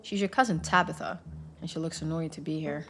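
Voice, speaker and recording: A woman reading a video game's wry second-person narration in a measured storytelling way. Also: low-pitched, fairly bright tone, slight background noise, voice pushed down. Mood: wry, calm. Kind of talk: scripted narration read aloud.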